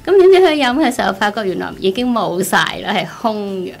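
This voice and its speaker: A middle-aged woman with a Hong Kong Cantonese accent, giving a personal testimony in an expressive, conversational storytelling way. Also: fairly high pitch, fast talker, gravelly. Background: background music.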